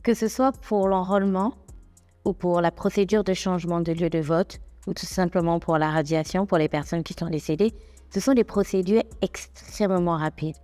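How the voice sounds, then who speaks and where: A young adult woman, presenting civic information in a clear, measured, explanatory way. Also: on the low side, fairly even intonation, darkish tone, long reverb tail, faint music behind. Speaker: radio host and reporter.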